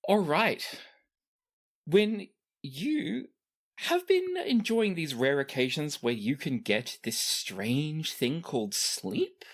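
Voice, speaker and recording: A game master with a medium-pitched voice narrates a tabletop game. The delivery is improvised and conversational, with lively swings in pitch. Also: takes it slowly.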